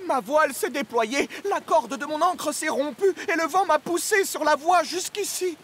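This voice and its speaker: A woman's voice: high-pitched, moderate pace, slight background noise, natural voice. A woman voicing a small, earnest, timid sailing boat with a childlike manner in a children's cartoon. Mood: shaken.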